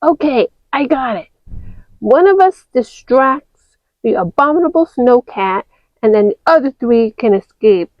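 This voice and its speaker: A woman voicing a gentle, earnest male cartoon mouse who coaxes softly in a toy-play story. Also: sits high, expressive, unhurried, dry recording, lo-fi sound. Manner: soft, coaxing and earnest.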